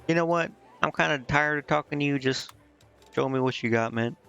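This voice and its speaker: A man's voice: fairly high pitch, brisk, gravelly, sharp tone, smooth. A man casually commenting on a video game he is streaming, thinking aloud and reading bits of on-screen text. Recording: slightly dull lo-fi mic, game music behind.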